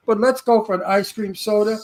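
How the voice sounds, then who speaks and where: A man's voice: high voice, fairly even intonation, fairly quick. A man with a Brooklyn accent, co-hosting a radio interview show and telling brash, salty stories in casual chat. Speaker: co-host.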